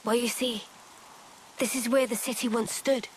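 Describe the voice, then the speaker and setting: An actress playing a gentle, resolute young woman in a dramatic fantasy game cutscene, speaking with measured, solemn tenderness. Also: fairly high pitch, steady tone, slight background noise, lightly acted. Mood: quiet, solemn.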